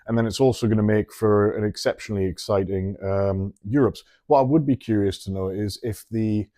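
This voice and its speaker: A man's voice: lowish voice, fairly even intonation, a little fast, mellow, dry recording, studio quality. A man co-hosting a podcast, chatting casually and asking questions. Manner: chatty, asks questions, frequent restarts. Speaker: podcast co-host. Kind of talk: casual chat.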